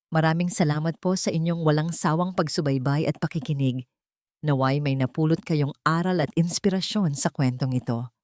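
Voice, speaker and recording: A woman's voice: low voice, a little mellow, no room sound. A woman narrator delivers a scripted dramatic story. The recording is dry and close, with no room sound around her.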